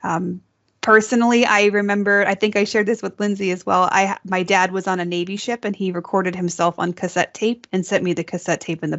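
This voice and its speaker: A woman co-hosting a webinar, casually relaying comments from participants. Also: on the low side. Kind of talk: casual reading out of audience comments. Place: remote online video call. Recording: slightly dull online call audio.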